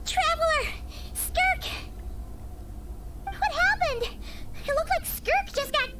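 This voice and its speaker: A woman voicing a tiny, excitable, fretful childlike fairy companion in a video game, with a squeaky voice. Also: slow pace, noisy background. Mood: alarmed. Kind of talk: scripted video game voice acting.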